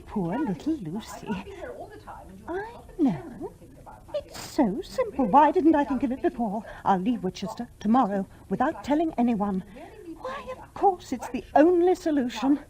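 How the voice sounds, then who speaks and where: A young English widow in a radio drama, gentle yet determined. Her voice is fairly high and mellow, and it moves expressively up and down. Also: sing-song, normal speed, low-quality recording. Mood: harried. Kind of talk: radio play acting.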